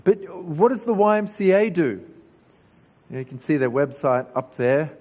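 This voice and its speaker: A man's voice: fairly high pitch, expressive, moderate pace, darkish tone. A man with an Australian accent, a pastor preaching a sermon in a conversational way and asking his congregation questions. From New South Wales, Australia. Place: church hall. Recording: church hall reverb.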